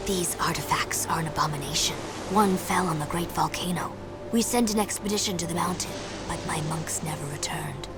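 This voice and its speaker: A woman voicing a grave, cryptic desert monk in a video game, solemn and breathy at the ends of phrases. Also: lowish voice, fairly quick, natural voice. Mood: foreboding, disdainful. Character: grave, ominous female desert monk seer. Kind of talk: animated video game voice acting. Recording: loud music underneath.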